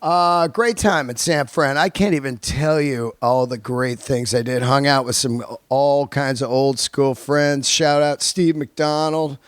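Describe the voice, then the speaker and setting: A man's voice: mid-range voice, fairly even intonation, a little slow, few pauses, clean tone, no echo. A man in his early fifties hosting a podcast, chatting casually to his listeners in a laid-back, slangy, sweary way. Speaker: podcast host and stand-up comedian.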